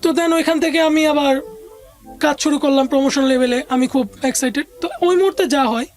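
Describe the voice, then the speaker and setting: High-pitched Bangladeshi woman storytelling over music. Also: fairly quick, darkish tone. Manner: casual colloquial Bengali with occasional English business words. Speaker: interview guest telling their life story. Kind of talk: conversational personal storytelling. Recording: music playing under the voice.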